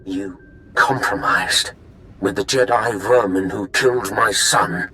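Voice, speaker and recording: An actor playing a vengeful, menacing Sith lord in a video game, with a gravelly, commanding, threatening delivery. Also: male voice, lowish voice, slow pace, pushed and bright, a little hiss or hum. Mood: vengeful fury.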